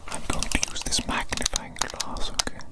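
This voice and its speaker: A woman voicing a pompous, fussy evil doctor in a whispered ASMR roleplay, breathy and given to repeating phrases emphatically. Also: moderate pace, close to an everyday voice. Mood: soothing, absorbed. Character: pompous, exasperated evil doctor, self-proclaimed mad scientist genius.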